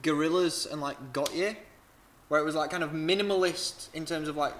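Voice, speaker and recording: A man's voice: fairly high pitch, normal speed, a bit bright, slight background noise. Rambling young man reviewing tracks. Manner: rambling, filler-heavy, frank. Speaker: music reviewer, host. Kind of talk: casual review chat.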